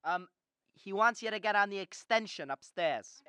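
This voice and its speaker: A man's voice: high-pitched, animated, moderate pace, sharp tone, clear, resonant tone, no echo. A teenage student actor playing a stubborn, restless young small-town man in a radio drama, stammering and emphatic. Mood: hesitant, curious.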